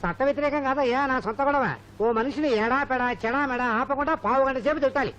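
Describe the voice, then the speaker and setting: An actor playing a secretive, demanding client with a grudge in a film comedy. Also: male voice, extremely high pitch, quick pace, a bit bright. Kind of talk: Telugu film comedy acting. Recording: muffled film audio with music.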